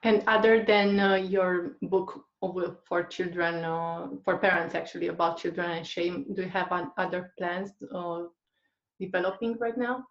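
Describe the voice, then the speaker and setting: A woman with a fairly low voice hosts an interview, asking her questions gently and with hesitant pauses. She sounds as if she is in a roomy space, and her video call audio comes through dull and muffled. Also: fairly even intonation. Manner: gentle, hesitant, many uh fillers. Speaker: interviewer host.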